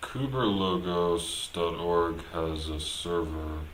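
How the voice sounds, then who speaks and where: A man's voice: low voice, takes it slowly. A man talking casually and unscripted on a live stream, hesitant and rambling as he thinks aloud. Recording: echoey room, clear background noise.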